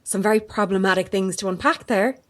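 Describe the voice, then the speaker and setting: A woman playing a sharp-witted, persistent investigative reporter in an audio drama, with an articulate, probing manner. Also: mid-range voice, brisk, lightly acted. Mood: pointed, confrontational. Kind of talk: naturalistic audio drama acting.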